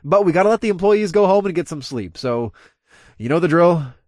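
Easygoing male club boss, animated man acting. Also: high-pitched, brisk, no echo, studio quality, pitched above the performer's own voice. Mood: announcing, apologetic. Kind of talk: dramatized audiobook dialogue.

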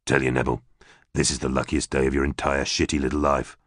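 A man voicing a gruff, very deep ex-soldier. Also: flat delivery, fast talker, no echo, studio quality. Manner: curt, menacing, swears. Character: tough ex-army psychic man, gruff and blunt. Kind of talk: audiobook character voice.

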